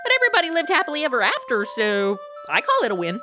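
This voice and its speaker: A woman's voice: sits high, huge pitch swings, fast talker, over music. A woman playing a brash, sarcastic young princess with blunt, slangy delivery in a radio comedy. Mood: perky. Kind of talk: comedy radio play acting.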